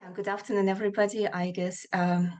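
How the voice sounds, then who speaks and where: A woman's voice: medium pitch, level tone, a little fast, a little mellow. A woman whose first language is Kazakh, from Kazakhstan, giving a conference presentation over a video call in a hesitant way, with many fillers and restarts. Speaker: artist presenting research.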